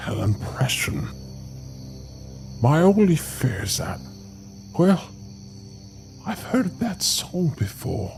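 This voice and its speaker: An actor playing a polite, ominous old groundskeeper in a horror audio drama, with a grave, formal, theatrical manner. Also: male voice, medium pitch, gravelly, a little mellow. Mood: foreboding. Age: older.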